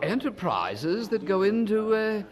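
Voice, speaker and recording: An actor playing a snobbish, thrill-seeking young Oxford gentleman in a radio drama, haughty and jaunty. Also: sits high, big pitch swings, unhurried, a crowd in the background. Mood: sly, teasing.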